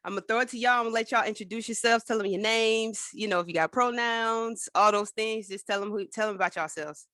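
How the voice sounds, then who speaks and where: A woman hosting an interview podcast, talking in an upbeat, casual and lively way. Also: fairly high pitch, quick pace, no room sound. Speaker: podcast host. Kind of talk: conversational interview.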